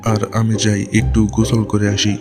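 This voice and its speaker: A man plays a shrewd, food-loving village husband in a cartoon. His voice is fairly low with little melody, plain-spoken and bossy, with music clearly behind it. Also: monotone, normal speed, lightly acted. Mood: content, bossy. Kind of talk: cartoon voice acting.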